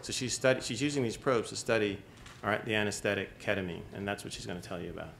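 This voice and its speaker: A middle-aged professor introducing his student at a podium, speaking briskly and restarting his phrases. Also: on the low side, gravelly, a bit bright, slight background noise. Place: lecture hall at a podium. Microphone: podium microphone.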